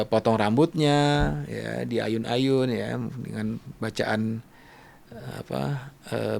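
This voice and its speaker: A man's voice: medium pitch, vocal fry. A middle-aged man hosting a casual interview, chatting informally and checking in with tag words. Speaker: podcast host.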